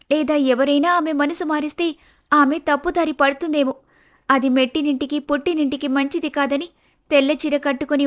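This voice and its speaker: A woman voicing a principled, firm young married daughter in an animated moral story, speaking in an earnest, lecturing manner. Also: high voice, close to an everyday voice. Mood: firm explaining.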